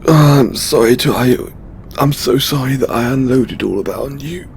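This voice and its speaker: Guy doing a stressed out god boyfriend, tender and stammery, mid pitched male voice, audio roleplay w some background noise. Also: creaky, natural voice. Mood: flustered, apologetic. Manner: hesitant, halting, stammering and sighing. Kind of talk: intimate audio roleplay acting. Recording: background noise with low rumble.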